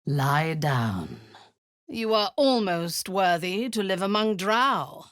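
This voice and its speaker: Low, husky drow warlord, cold and cruel, slow and deliberate, swinging from hissed threats to sharp barked orders. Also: female voice, sing-song, no echo, full character voice. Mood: menacing. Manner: cold commands, often dropping to a menacing whisper. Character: cruel zealous drow woman warlord, commanding. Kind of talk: video game voice acting.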